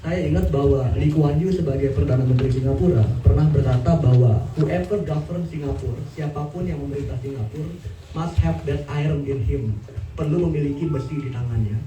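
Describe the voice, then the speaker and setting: A man's voice: a little high, fairly quick, mellow. A man moderating a talk, summing up in emphatic, rhetorical phrases with some English mixed in. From Indonesia. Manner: emphatic repetition, switches into English. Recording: echoey room, distant mic, faint noise.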